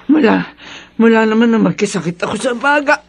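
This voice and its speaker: An actress playing a sickly, gentle mother in a radio drama, with a weak, husky voice. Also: on the high side, expressive, fairly quick, a little hiss or hum. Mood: weary, resigned.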